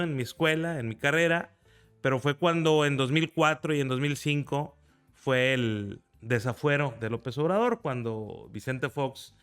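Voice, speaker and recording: Guy, early 40s, smooth clear voice, faint music behind. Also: medium pitch, a bit bright. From Mexico. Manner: earnest, recounts with pride. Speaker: guest, federal deputy. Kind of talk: conversational interview.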